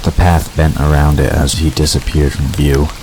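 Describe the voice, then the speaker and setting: A man's voice: extremely low pitch, level tone, moderate pace. A man reading scripted story narration for an audio drama. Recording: noisy, rain-like hiss behind.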